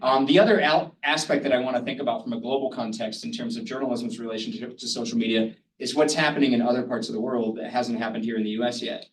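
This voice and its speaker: A man's voice: medium pitch, fairly even intonation, fast talker, few pauses. A man speaking on a panel in a loose, conversational way, with many hesitations. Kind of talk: panel discussion talk. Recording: roomy hall, distant mic, faint noise.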